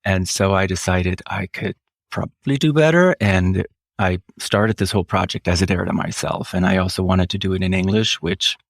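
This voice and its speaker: Man, 50s, very low voice, measured reflective interview talk, dry close sound. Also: vocal fry, no room sound. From Switzerland. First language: German. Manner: measured, reflective, slows down often, frequent fillers. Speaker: author guest. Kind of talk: interview answers.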